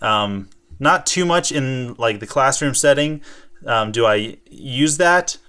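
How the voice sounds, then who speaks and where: Man, American accent, smooth clean voice, mid pitch, casual chat, rambling explanations. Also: animated, takes it slowly, bright, forceful tone. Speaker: co-host of a gaming show and school teacher. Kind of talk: casual unscripted chat, answering viewer questions.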